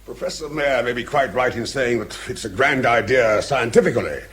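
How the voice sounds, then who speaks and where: An actor playing a blunt, sceptical army colonel in a radio drama, plain-spoken and pressing. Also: male voice, medium pitch, brisk, fairly bright tone, natural voice.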